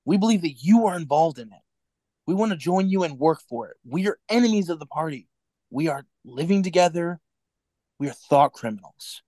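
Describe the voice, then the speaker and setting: A man playing an earnest, anxious rebel in a radio drama, with a hesitant, halting delivery. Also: a little high, animated, dark tone, no room sound. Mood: nervous, resolute. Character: earnest, anxious male rebel and lover in a dystopia. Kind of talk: radio play acting.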